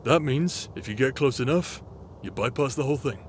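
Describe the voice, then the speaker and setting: A man's voice: mid-range voice, expressive, fairly quick, a little hiss or hum, natural voice. A man voicing a grumpy, stubborn, sarcastic demon in a fantasy audio drama. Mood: sardonic. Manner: curt, sardonic. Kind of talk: fantasy audio roleplay acting. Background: music.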